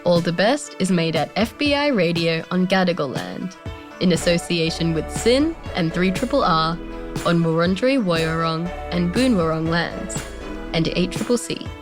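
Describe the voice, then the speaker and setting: A woman's voice: lowish voice, big pitch swings, measured pace. An Australian woman reading a scripted programme outro as a radio show host. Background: music.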